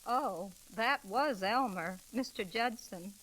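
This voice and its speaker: A friendly, homespun widow from a small town in a vintage radio comedy. Her voice is high and bright and her talk plain and informal, on a crackly old recording. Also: slow pace. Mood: friendly, modest.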